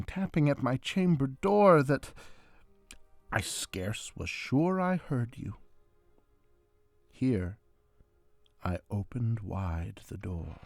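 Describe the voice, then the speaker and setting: A man giving a theatrical dramatic recitation of a gothic poem, moving between hushed tones and loud outbursts, over a string quartet score. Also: fairly high pitch, no echo. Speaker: amateur reader of poetry. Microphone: close handheld portable recorder.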